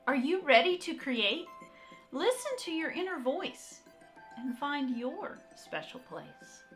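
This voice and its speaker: A woman art teacher reading aloud and talking to young children in an encouraging, expressive, direct way. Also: high voice, takes it slowly, soft background music. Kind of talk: children's read-aloud and talk to young kids.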